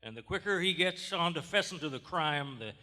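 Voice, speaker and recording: A man playing a gruff, suspicious sheriff with a folksy, badgering manner in a live radio drama. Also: a little high, expressive, fairly quick, sharp tone. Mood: angry, accusing. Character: gruff, suspicious, hard-nosed Southern sheriff in his office. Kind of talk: 1940s radio drama acting. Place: large theater stage, live performance. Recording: live theater stage, long hall reverb.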